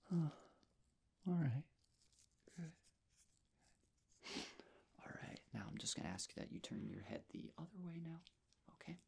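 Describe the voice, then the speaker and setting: A man speaking softly and breathily, often in a whisper, gently reassuring the listener as a nurse in an ASMR medical roleplay. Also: on the high side, big pitch swings, gravelly, husky. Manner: gentle, reassuring, unhurried personal attention. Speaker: ASMR performer playing a nurse. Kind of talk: soft-spoken ASMR medical check-up roleplay.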